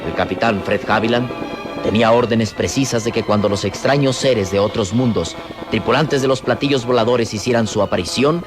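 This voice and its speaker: A man narrating a scripted radio drama solemnly, in measured phrases with dramatic pauses. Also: lowish voice. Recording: vintage radio drama with music. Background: dramatic music.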